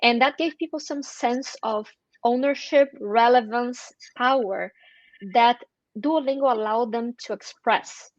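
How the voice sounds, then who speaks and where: A woman guest in an interview, speaking thoughtfully with frequent "like" fillers and slowing down for emphasis. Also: on the high side, dry recording, highs cut off. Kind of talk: interview conversation.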